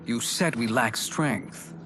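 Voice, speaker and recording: Man as slow, shrewd sorcerer. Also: medium pitch, noisy background, close to an everyday voice. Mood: probing, persuasive. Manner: deliberate, probing. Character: shrewd, speculative male sorcerer.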